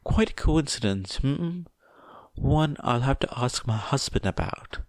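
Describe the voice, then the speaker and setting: Man voicing a sweetly menacing mother, pitched high. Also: animated, unhurried, dry recording. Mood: happy, veiled menace. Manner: polite, motherly, fussing. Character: sweetly menacing middle-aged mother, dangerously unhinged.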